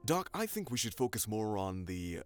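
An actor playing an earnest, diplomatic roommate in an audio sitcom, careful and a little evasive. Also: male voice, on the low side, sing-song, normal speed, faint music behind. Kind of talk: sitcom audio theatre acting.

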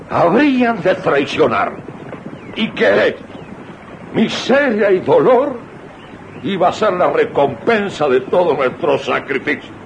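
A man's voice: on the high side, heavily acted. An actor playing a weary exiled general in a radio drama, solemn and rhetorical, grieving for the homeland he is leaving. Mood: bitter anguish. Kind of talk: 1980s radio drama acting. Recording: muffled, noisy old radio broadcast tape.

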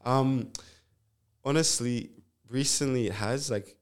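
A young man from Zambia, a nurse and musician, chatting in a relaxed, rambling way as an interview guest, with lots of fillers and restarts. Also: mid-range voice, slow, clean tone. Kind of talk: casual interview chat.

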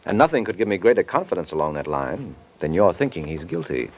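An American actor playing a suave, unflappable private detective in an old radio drama, trading sardonic, breezy banter. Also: male voice, low voice, sing-song, quick pace. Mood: breezy, needling. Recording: old radio recording, background noise.

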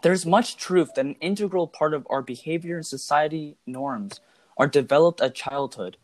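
A man giving scripted analytical commentary in a formal, measured manner. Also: fairly high pitch, a little mellow, no echo.

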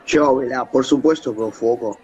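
A man's voice: mid-range voice, normal speed, a little mellow, some chatter behind, slight background noise, lightly acted. Man acting coarse Italian bar flirt, muffled recording. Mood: flirtatious. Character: coarse foreign male bar patron, flirtatious suitor. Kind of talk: amateur radio play acting.

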